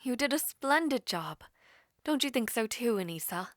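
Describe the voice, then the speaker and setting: A woman playing a formal, composed villainess devoted to her prince, speaking in slow, breathy, measured phrases in a fantasy audio roleplay. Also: fairly high pitch, expressive, dry recording, voice pushed up, lightly acted. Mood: warm, proud. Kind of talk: fantasy audio roleplay with first-person narration.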